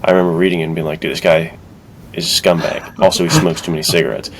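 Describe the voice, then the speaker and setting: A man's voice: low voice, fairly even intonation, quick pace, slight background noise. A man co-hosting a podcast, giving his opinions in casual, slangy conversation. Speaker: podcast co-host. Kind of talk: casual film and book discussion.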